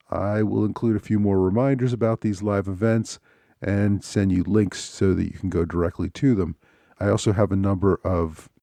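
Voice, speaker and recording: A man hosting a history podcast, talking in a measured, unhurried way, his voice a little scratchy from a cold. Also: fairly low pitch, vocal fry, mellow, dry recording. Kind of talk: solo podcast talk.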